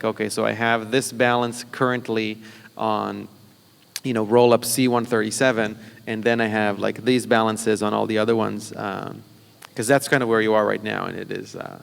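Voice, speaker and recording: Gravelly man on a conference panel. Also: lowish voice, a bit bright, long reverb tail. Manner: fast, technical, conversational with many fillers. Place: conference hall.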